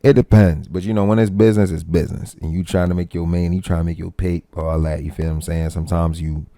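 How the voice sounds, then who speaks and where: A man's voice: low-pitched, steady tone, quick pace, warm tone, dry recording, studio quality. A young man hosting a casual reaction video, greeting his chat in laid-back slang. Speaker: reaction channel host.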